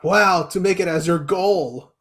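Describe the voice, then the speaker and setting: A man's voice: high voice, a little slow, fairly bright tone, slightly rough. A man hosting a casual chat, speaking in brief interjections.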